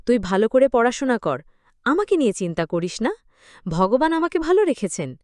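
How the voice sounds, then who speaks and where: A woman's voice: on the high side, very animated, normal speed, darkish tone, no echo, studio quality. A woman voicing a sorrowful, secretive but affectionate aunt in a narrated story, reflective and confiding. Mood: caring, evasive. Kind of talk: acted dialogue in story narration.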